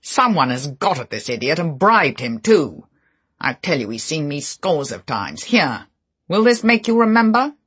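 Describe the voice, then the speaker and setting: A rough, sneering man who badgers and jeers at a witness, as a male reader puts him on. He pushes hard, raising his husky voice into a loud, mocking taunt. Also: on the high side, big pitch swings, unhurried, voice pushed up, heavily acted. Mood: bullying. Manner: loud, jeering, pushy.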